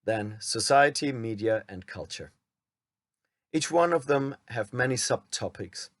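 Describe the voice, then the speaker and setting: A man from Germany with a German accent, a channel host reading a prepared script aloud in a measured, somewhat wooden way. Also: medium pitch, animated, no room sound. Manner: admits his reading sounds wooden.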